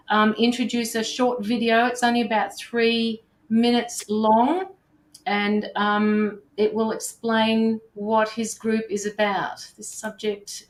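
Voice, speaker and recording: A woman's voice: a little high, roomy sound. A woman hosting a livestream, chatting informally with viewers and her guest. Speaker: livestream host. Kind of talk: casual livestream chat.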